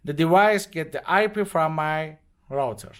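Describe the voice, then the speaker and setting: A man with non-native, halting English walks step by step through an unscripted product demonstration. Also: on the high side, clear, resonant tone. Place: indoors at a desk with devices.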